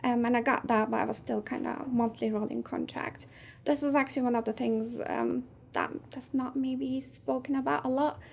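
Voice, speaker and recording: A woman in her thirties, a native Turkish speaker, talks over a video call in an easy, thoughtful way, often pausing on "um". Her voice sits fairly high with a gravelly fry at the ends of phrases. A faint hiss of noise runs underneath. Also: steady tone. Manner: thoughtful, soft-spoken with frequent "um"s. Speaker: guest, game producer. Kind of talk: casual interview chat.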